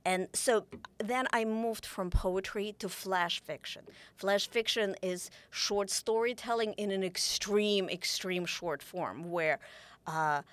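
A woman's voice: medium pitch, takes it slowly, no room sound. Woman author, dry studio. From Ukraine. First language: Russian. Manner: animated, gesturing, many restarts. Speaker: author guest. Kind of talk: interview conversation. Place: small podcast studio. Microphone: boom mic on desk.

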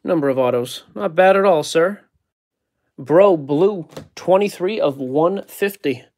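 A man's voice: on the high side, lively intonation, a little slow, a little mellow. A man hosting a card break, casually joking while he reads out player names from the packs. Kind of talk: casual card-opening chat. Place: indoor card-breaking table.

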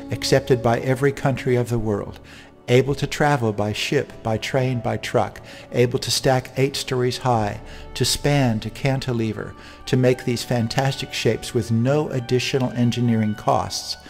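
An older man from Alaska talks through his housing project in a calm, earnest voice set at an everyday middle pitch. He speaks as a scripted voice-over, measured and steady. Light music plays quietly underneath. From Alaska, United States. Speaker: home designer presenting his project. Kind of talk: scripted narration.

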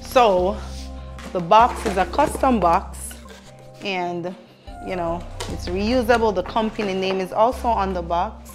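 A woman's voice: mid-range voice, a little slow, soft background music. A young woman vlogging from her home kitchen on a lapel mic, chatty and upbeat as she unboxes cookware and talks straight to her viewers. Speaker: lifestyle vlogger. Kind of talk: casual product unboxing. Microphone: clip-on lapel mic.

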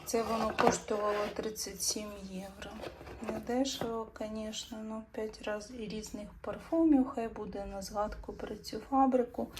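A woman's voice: medium pitch, steady tone, unhurried, noisy background. A woman filming a casual travel vlog, talking to her viewers as friends in a personal, chatty way. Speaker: travel vlogger.

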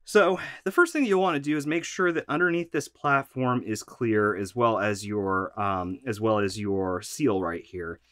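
A man's voice: lowish voice, unhurried, few pauses, a bit bright, no echo, studio quality. A man, a little congested from allergies, hosting a practical vacuum maintenance tutorial from a shop workroom. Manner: practical, instructive, conversational.

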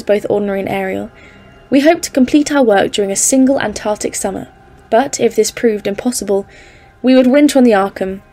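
A woman's voice: medium pitch, vocal fry, a little mellow. A woman playing a composed expedition leader, narrating a polar journal in a scripted audio drama. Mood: measured recounting. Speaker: narrator and lead character.

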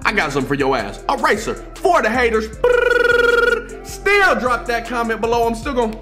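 A young American man hosting a reaction video, loud, energetic and profane, talking straight to his viewers in a small indoor room. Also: very high-pitched, moderate pace, over music. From United States. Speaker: YouTube reaction host. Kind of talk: hyped reaction video talk.

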